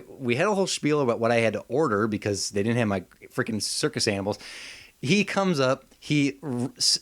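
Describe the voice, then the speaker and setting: A man co-hosting a podcast in casual, comedic chat, joking and mildly profane in an animated way. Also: mid-range voice. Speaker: podcast co-host.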